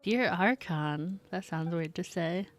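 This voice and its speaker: A woman's voice: lowish voice, slow, soft background music. A woman streaming a video game, chatting casually and reading the game text aloud. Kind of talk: casual gameplay commentary.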